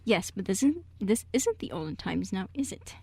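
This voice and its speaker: A woman's voice: mid-range voice, very animated, moderate pace, gravelly, dark tone, slightly rough, no room sound, heavily acted. A woman playing a prim, protective and easily flustered older uncle in an amateur radio play, with a fussy, exasperated delivery. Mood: defensive.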